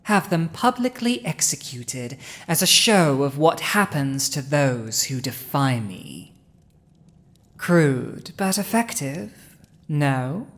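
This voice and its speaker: A woman's voice: low voice, big pitch swings, heavily acted. A woman playing a haughty, cunning dragon ruler in human form, smug and commanding, in a roleplay audio drama spoken to the listener. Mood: calculating. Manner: smug, commanding, deliberate, with pauses.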